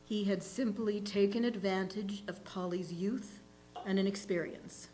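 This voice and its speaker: A woman reading a literary short story aloud in a deliberate, expressive narrating style. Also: mid-range voice, soft background music, low-quality recording. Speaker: reader in a readers' theatre. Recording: faint background noise and hum.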